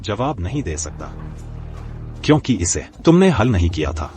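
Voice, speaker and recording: A man plays a young man who takes a cheater apart one fact at a time, in a low, cold voice that still rises and falls a lot. He sounds mocking and precise. Faint music runs under him. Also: big pitch swings, normal speed, warm tone. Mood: accusing. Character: young man exposing a thief, calm and cutting. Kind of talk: Hindi dub of a drama.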